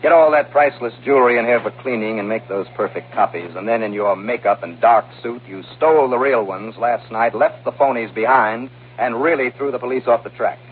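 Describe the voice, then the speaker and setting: Actor as wry radio detective, old muffled recording. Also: male voice, mid-range voice, a little fast, hardly any pauses, slight background noise, natural voice. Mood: smug, accusing. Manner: wry, assured, clipped hard-boiled storytelling. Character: brisk, wry, confident male insurance investigator. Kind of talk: 1950s radio detective drama, first-person narration and dialogue.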